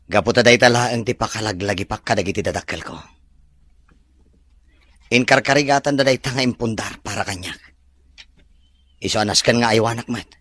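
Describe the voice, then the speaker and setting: A man's voice: fairly low pitch, brisk, pauses often, fairly bright tone, no echo. A man playing a humble, earnest young suitor in a romantic Ilocano audio drama. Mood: apologetic, firm.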